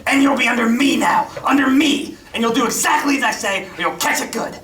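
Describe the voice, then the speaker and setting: A woman's voice: a little high, a little fast, a bit bright, close to an everyday voice. Woman as jeering boy bully, coarse taunts, school stage play, far mic, roomy hall. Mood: taunting. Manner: coarse taunting working-class speech, cackling. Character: sneering bullying teenage boy assistant. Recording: distant roomy stage recording, faint noise.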